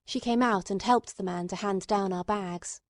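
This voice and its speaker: A woman's voice: medium pitch, normal speed, no room sound. Woman narrating a classic novel, dry sound. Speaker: audiobook narrator. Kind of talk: scripted literary narration.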